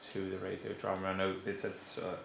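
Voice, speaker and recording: Male questioner, very gravelly and flat, lowish, informal, echoey noisy room. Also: quick pace. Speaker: questioner at a student presentation. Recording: echoey room with background noise.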